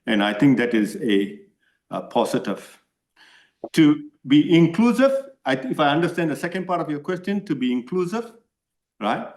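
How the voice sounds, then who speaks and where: A man's voice: on the high side, big pitch swings, normal speed, a little mellow. Earnest man, echoey room. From Malaysia. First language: Tamil. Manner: earnest, repeatedly asks "right?". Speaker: submitter to a parliamentary committee. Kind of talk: answering committee questions.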